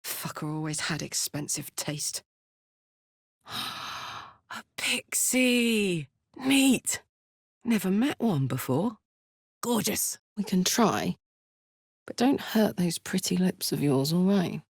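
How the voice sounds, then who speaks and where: Battle-hardened, big-hearted tiefling warrior woman for a video game; blunt, sweary, unhurried, dry studio sound. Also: lowish voice, animated, slightly rough, dry recording, full character voice. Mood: playful, affectionate. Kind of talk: video game character voice lines.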